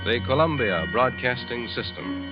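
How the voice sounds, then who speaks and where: A dull old broadcast of a male network announcer with music clearly behind him. His delivery is formal, scripted and emphatic, in classic forties radio manner. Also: mid-range voice, lively intonation, normal speed.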